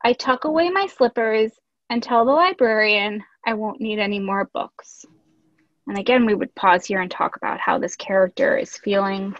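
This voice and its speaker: A woman's voice: medium pitch, normal speed. A woman reading a picture book aloud as a teacher, expressively, with instructive asides. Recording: echoey room, dull lo-fi audio.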